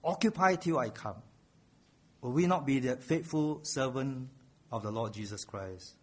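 A man's voice: medium pitch, unhurried. A man preaching a sermon, earnest, repeating a refrain and building to raised emphasis.

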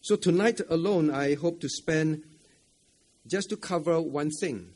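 A man's voice: a little high, measured pace, a little mellow. A man preaching an interactive sermon at a church camp, asking the audience questions, repeating phrases and often adding "right?". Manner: chuckles often between points. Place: church camp meeting room.

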